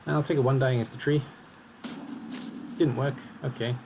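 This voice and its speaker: A man commentating his own match in a calm, analytical way as he talks through each move, slightly hoarse. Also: mid-range voice, moderate pace, background noise. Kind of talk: casual game commentary.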